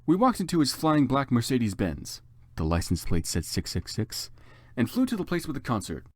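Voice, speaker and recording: A man narrates a story theatrically, with exaggerated emphasis and hugely animated intonation. The voice sits at a medium pitch and sounds close and dry. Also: moderate pace, no echo. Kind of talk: dramatic comedic fan fiction reading.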